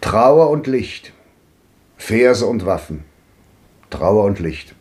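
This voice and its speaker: An actor playing a grandly bitter old man in a 1950s radio drama, declaiming with sweeping swings between loud and hushed. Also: on the low side, big pitch swings, very slow, heavily acted. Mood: brooding. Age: older.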